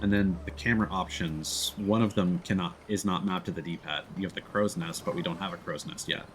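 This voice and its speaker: A man's voice: deep voice, steady tone, a little fast, slight background noise. A man livestreaming casual gameplay commentary, thinking aloud in a playful way. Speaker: live streamer.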